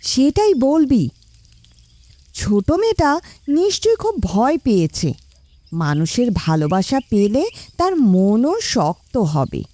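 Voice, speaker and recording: A woman playing a kindly, practical village mother who gently encourages her child in an animated moral story. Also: a little high, measured pace, pauses often, no room sound. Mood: caring, encouraging.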